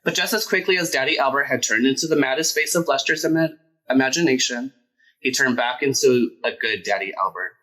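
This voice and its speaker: Bright-voiced man reading a picture book. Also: medium pitch, brisk, rough recording. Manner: expressive, emphatic. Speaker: storyteller. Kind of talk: children's picture book read-aloud.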